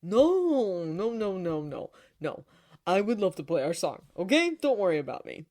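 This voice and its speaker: A woman's voice: medium pitch, sing-song, moderate pace, a little mellow, lightly acted. A woman voicing a sheepish, boastful devil king and father with flustered, defensive bravado.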